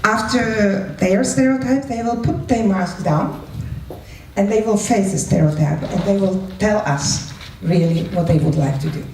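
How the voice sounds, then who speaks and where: Heard from a little way off in an echoing room, a woman gives a live presentation. Her voice sits at a medium pitch as she explains things didactically, punctuating points with brief questions to her listeners. A faint noise runs underneath. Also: unhurried, warm tone. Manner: didactic, checks in with short questions. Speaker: presenter and moderator of a participatory one-act play. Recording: roomy, distant mic with faint noise.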